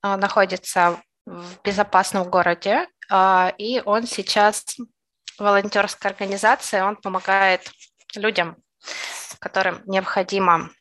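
A woman from Ukraine giving an interview, speaking haltingly with many pauses and fillers. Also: mid-range voice, fairly even intonation, fairly bright tone. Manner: hesitant, pausing often with fillers. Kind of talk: emotional interview testimony.

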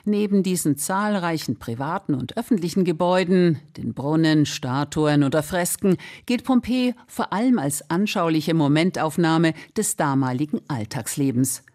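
A woman's voice: low voice, animated, normal speed, few pauses, darkish tone. A woman reading scripted narration for a radio feature as its reporter.

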